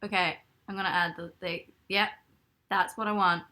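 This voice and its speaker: Young woman, bright voice, rambling giggly live-stream chat from her bed. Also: fairly low pitch, steady tone, normal speed. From Ireland. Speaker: livestreamer. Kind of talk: casual live-stream chat, reading comments. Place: bedroom, in bed.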